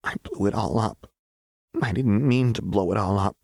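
A man voicing a dazed, grief-stricken young woman in an audiobook, speaking in a quiet, breathy, pleading way. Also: on the low side, moderate pace, dry recording, clean studio sound. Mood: dazed, hushed. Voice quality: hushed, breathy.

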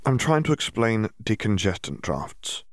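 A self-assured teenage schoolboy voiced by a man reading a story, smug and dismissive with others. The pitch sits somewhat above the narrator's own, in a dry storybook recording. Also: very animated, unhurried, no room sound. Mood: explanatory.

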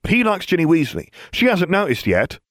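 A man voicing a brisk teenage girl in a storybook reading, rattling off gossip in a matter-of-fact way. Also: fairly high pitch, big pitch swings, no echo, clean studio sound, voice pushed up.

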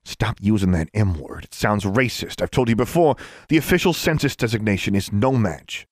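A man voicing an indignant middle-aged government official who turns smug and then shouts in a fury. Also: fairly low pitch, lively intonation, normal speed, no echo, studio quality. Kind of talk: audiobook character voice.

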